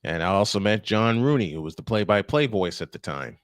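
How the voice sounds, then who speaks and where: A man's voice: on the low side, lively intonation, a little fast, dry recording, clean studio sound. A man calling live baseball play-by-play in punchy, clipped phrases, mixed with casual chat. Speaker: play-by-play announcer and host.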